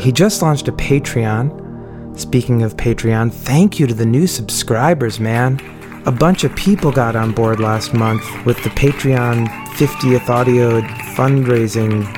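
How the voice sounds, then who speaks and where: A man with a worn, strained voice talks loosely through a podcast intro, doubling back to fix what he just said. Steady music plays clearly beneath him, and his pitch sits in a middle range. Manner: rambling, self-correcting, chatty. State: losing his voice. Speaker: podcast host. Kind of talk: casual spoken intro. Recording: music playing underneath.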